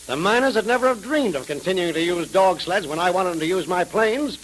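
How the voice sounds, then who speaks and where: An actor playing a smug, scheming crime boss in 1930s radio drama style, sneering and sarcastic on a hissy old recording. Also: male voice, sits high, expressive, brisk, low-quality recording. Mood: menacing.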